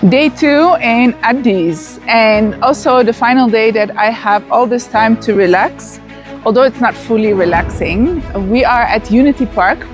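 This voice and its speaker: A woman's voice: fairly high pitch, a little slow, faint music behind. Chatty woman vlogging outdoors. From Netherlands. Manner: chatty, excited, with fillers and restarts. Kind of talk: casual vlog narration. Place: outdoors at an event venue.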